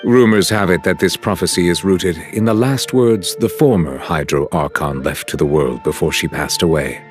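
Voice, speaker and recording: An actor playing a solemn, courteous chief justice in a video game, speaking in formal, measured, stately phrases. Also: male voice, low-pitched, soft background music, close to an everyday voice. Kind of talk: scripted game cutscene dialogue.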